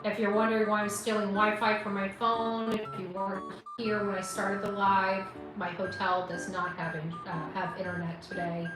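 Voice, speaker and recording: A woman's voice: mid-range voice, flat delivery, normal speed, far from the mic. A woman hosting a casual livestream, rambling informally with exasperated asides. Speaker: livestream host. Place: indoors, hotel. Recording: very echoey room, away from mic, music behind.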